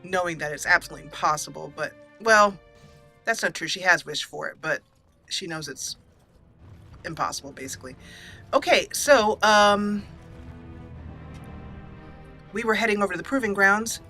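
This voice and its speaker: A woman hosting a let's play, telling her character's story over gameplay with drawn-out emphasis. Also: lowish voice, animated, sharp tone. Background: faint game music.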